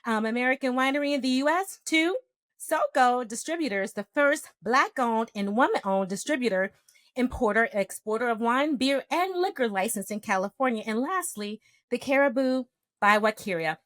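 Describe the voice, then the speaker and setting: A woman's voice: a little high, expressive, normal speed, fairly bright tone, no room sound. A middle-aged woman, a nurse practitioner, hosting a podcast with a formal, enthusiastic scripted intro and then interviewing a guest. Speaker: podcast host.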